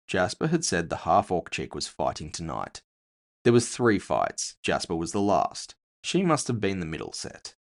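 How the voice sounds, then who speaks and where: Low-voiced man narrating fiction, animated pitch. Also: gravelly. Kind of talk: scripted first-person fiction narration.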